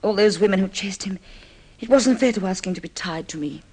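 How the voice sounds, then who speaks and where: A husky, grieving former fiancée. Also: female voice, mid-range voice, fairly quick, darkish tone. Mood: anguished, regretful. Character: beautiful grieving woman, guarded, wounded former fiancée. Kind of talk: vintage radio drama acting.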